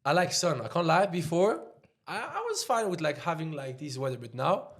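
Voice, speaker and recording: A man's voice: fairly high pitch, very animated, a bit bright. Swiss French man, rambling casual talk. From Switzerland. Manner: loose and halting, heavy on "like" fillers. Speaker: podcast guest, trader.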